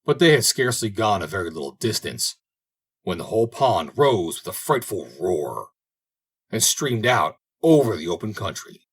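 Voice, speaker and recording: A man narrates a fairy tale in a fairly low, husky voice. His delivery is expressive and dramatic, with pitch that moves widely from phrase to phrase. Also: normal speed. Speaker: audiobook reader.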